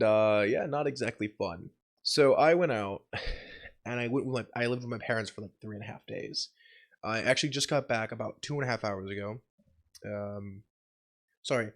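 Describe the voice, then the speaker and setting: Sick young man, swearing, rambling vlog. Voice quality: deeper voice than usual from being sick. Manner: swears and laughs often, rambling and exasperated. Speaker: channel host. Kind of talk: casual vlog update.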